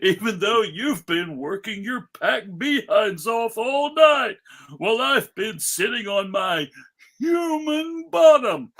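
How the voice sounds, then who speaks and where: Jolly, fretful old cartoon Santa, acted by a man: hammy, halting, unhurried, very animated. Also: sits high, exaggerated voice. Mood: resigned, rueful. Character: jolly but worried old Santa Claus. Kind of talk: live dramatic table read of a cartoon.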